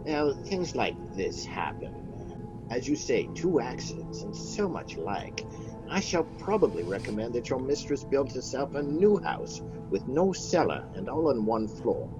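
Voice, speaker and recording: An actor playing a sardonic family doctor in a radio mystery, with a dry, measured manner. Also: male voice, mid-range voice, big pitch swings, dull audio, over music. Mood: dry authority. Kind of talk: radio mystery drama acting.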